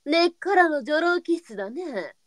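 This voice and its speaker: A woman playing a shrewd, sharp-tongued, brisk brothel madam in a period audio drama. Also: sits high, lively intonation, no echo, clean studio sound. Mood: brusque, exasperated. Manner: blunt, switches to formal politeness with guests.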